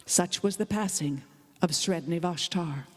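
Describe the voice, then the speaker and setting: A woman giving a dramatic live reading of a literary story, with expressive, deliberate phrasing. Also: lowish voice. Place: indoor hall, live performance. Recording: live performance in a reverberant hall.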